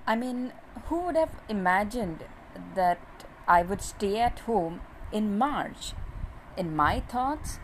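A woman's voice: mid-range voice, expressive, takes it slowly, slight background noise, low-quality recording. Chatty Indian woman doctor podcasting. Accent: Indian English. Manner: chatty, with frequent "uh" fillers. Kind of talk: casual podcast monologue.

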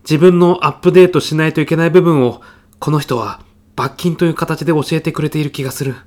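A man's voice: a little high, fairly even intonation, fast talker, a little mellow, lightly acted. Exclamatory middle-aged office boss played by a man. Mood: chastened, reactive. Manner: casual, reactive, exclamatory. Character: middle-aged male section chief, thoughtless but easily chastened. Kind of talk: comic radio drama acting.

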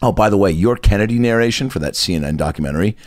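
A man with a lowish voice on a dry recording, firing off jokes and laughing easily. He talks fast and loose, steering the banter between bits. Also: lively intonation, no echo. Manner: quick joking banter, laughs often. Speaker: podcast host. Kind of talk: casual comedic chat.

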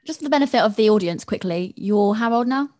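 A woman's voice: mid-range voice, animated, fast talker, darkish tone. A woman in her twenties with a mid-range voice. She speaks in an informal, sympathetic way, like a podcast host in casual conversation.

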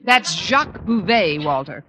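A woman playing a wisecracking high school English teacher with dry, sardonic comic timing in an old radio sitcom. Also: expressive, measured pace, bright, forceful tone. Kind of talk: 1940s-50s radio sitcom acting. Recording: noisy old-time radio recording.

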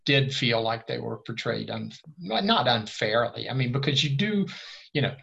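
A man's voice: mid-range voice, big pitch swings, moderate pace, vocal fry, fairly bright tone. An older man giving an interview in a casual, rambling way, often restarting his words. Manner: rambling, repeats words at starts, frank. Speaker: interview guest. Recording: slightly dull lo-fi sound.

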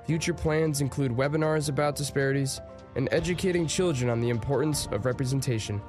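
A man's voice: mid-range voice, brisk. A man reading a scripted announcement as a public service narrator. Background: music.

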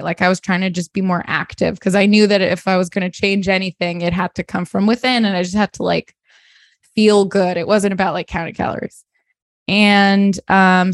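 A woman's voice: mid-range voice, creaky, no echo, clean studio sound. A woman talking candidly and reflectively in conversation, hesitant and self-deprecating, often saying "like" and "you know". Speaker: interview guest, comic artist.

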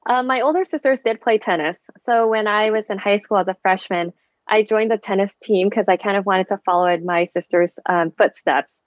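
A woman's voice: mid-range voice, fairly quick, dry recording. A woman in her thirties, born in Vietnam and raised in the Bay Area with Vietnamese as her first language, speaking as a friendly podcast guest over a video call. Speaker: guest, physical therapist and running coach. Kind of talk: casual interview chat. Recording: dull video-call audio.

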